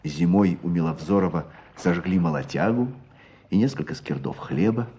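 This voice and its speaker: An actor playing a storyteller in a radio play, narrating village hardship with expressive, deliberate phrasing. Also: male voice, low-pitched, slight background noise. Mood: grave.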